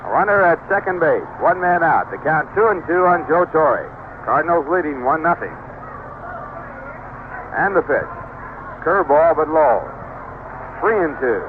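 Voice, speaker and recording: A man with a slightly high-pitched voice calls a baseball game play by play on old radio. The sound is thin and narrow like an AM set, with clear noise behind him. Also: animated, unhurried, pauses often. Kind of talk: live radio sports commentary. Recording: noisy narrow-band 1970s AM radio broadcast.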